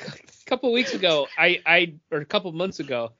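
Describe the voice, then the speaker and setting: A man's voice: on the high side, animated, moderate pace, pushed and bright. Muffled man in casual chat. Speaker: podcast co-host. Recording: dull, lo-fi audio.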